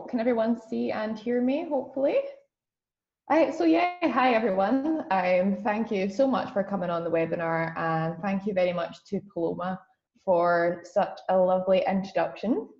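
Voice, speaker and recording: A woman's voice: medium pitch, roomy sound, lo-fi sound. A woman in her twenties with a broad Scottish accent, giving a chatty webinar talk as guest speaker over a video call, with plenty of ums. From Scotland. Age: 20s. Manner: friendly, chatty, many ums. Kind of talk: webinar presentation.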